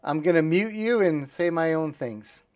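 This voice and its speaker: A man hosting a casual conversational interview, musing aloud and speaking haltingly in fragments. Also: fairly high pitch, expressive, a little mellow. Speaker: podcast host.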